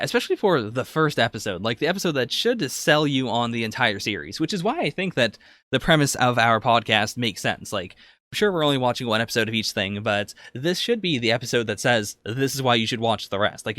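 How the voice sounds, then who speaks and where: Man, podcast co-host, conversational, hedging delivery, mid-range pitch, dry recording. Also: animated, brisk, sharp tone, no room sound. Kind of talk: casual anime review discussion.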